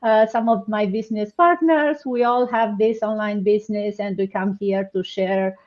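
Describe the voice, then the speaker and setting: A woman's voice: mid-range voice, fairly even intonation, normal speed, a little mellow. A woman from Romania hosting a live online panel, talking hesitantly with many fillers and restarts. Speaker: host. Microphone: video call. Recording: dull lo-fi online call audio.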